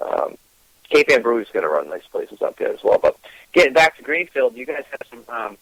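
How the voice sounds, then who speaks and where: A man with a Gloucester, Massachusetts accent chats as a guest in an unhurried, easygoing way, scattering ums and uhs. His voice sits at an ordinary middle pitch. The sound is dry and close. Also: very animated, no room sound, low-quality recording. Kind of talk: casual interview chat.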